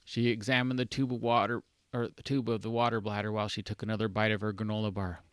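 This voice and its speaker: A man's voice: fairly low pitch, little pitch movement, fast, smooth, no echo. A man reading their own story aloud informally, occasionally stumbling and correcting mid-sentence.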